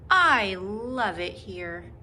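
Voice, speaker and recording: A woman's voice: mid-range voice, very animated, very slow, bright, forceful tone, slight background noise, full character voice. A woman reading the part of an earnest male country cat, proud but timid, in a children's storybook.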